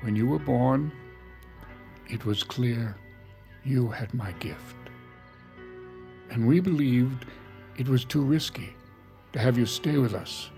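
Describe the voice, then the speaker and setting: An actor playing a calm, grave, protective doctor and father in an audio drama, speaking in a measured, gentle way. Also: medium pitch, vocal fry, faint music behind. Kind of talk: naturalistic audio fiction acting.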